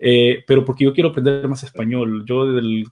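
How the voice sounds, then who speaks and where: A man's voice: mid-range voice, steady tone, fairly quick, darkish tone. A middle-aged man from El Salvador, introducing himself on an online panel in a rambling way, with many restarts. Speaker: guest panelist, church teacher.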